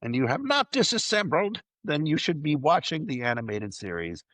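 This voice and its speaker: A man's voice: on the high side, big pitch swings, moderate pace, fairly bright tone, dry recording. A man presenting a fan news segment as a podcast host, partly scripted, with playful enthusiasm and wry asides.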